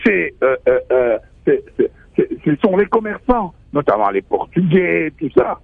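Husky man professor, radio guest, thin phone-like sound, dry. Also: fairly high pitch, very animated, unhurried, no echo. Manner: emphatic, stammers at starts. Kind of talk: radio interview.

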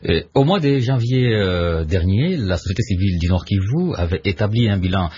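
A man's voice: lowish voice, lively intonation, moderate pace, dark tone, breathy edge. A man hosting a radio news interview, asking formal questions with fillers. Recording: dull lo-fi radio sound.

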